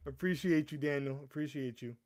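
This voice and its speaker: A man's voice: a little high, darkish tone. A young man from the US East Coast hosting a casual livestream, rambling with frequent stammers and restarts and calling viewers "bro". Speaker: livestream host. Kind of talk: casual live chat.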